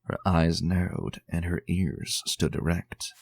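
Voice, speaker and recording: A man giving a dramatic, expressive reading of a fan story as narrator. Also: low voice, slow, gravelly. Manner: expressive, tense and theatrical. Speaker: fan reader.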